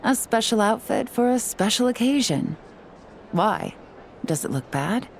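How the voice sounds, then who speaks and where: An actress playing a cool, shrewd and composed operative in a video game, speaking with calm assurance. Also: female voice, fairly high pitch, measured pace, slight background noise, heavily acted. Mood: playful, poised. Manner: calm, assured, smooth-talking.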